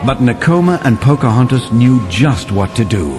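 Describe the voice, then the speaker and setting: A man's voice: medium pitch, very animated, moderate pace, over music, close to an everyday voice. A man playing a settler who recounts a winter adventure in vivid, dramatic children's story narration. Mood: dramatic, awed.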